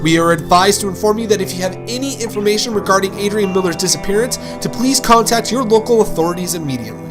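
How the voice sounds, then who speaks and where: High-pitched formal news anchor acted by a man. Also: over music, lightly acted. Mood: formal, urgent. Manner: formal, measured. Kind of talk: scripted news bulletin delivery.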